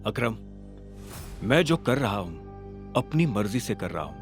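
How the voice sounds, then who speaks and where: A man playing a calm, determined young fighter who speaks in a measured, firm way in an audio drama. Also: on the low side, faint music behind, pitched below the performer's own voice. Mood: resolute.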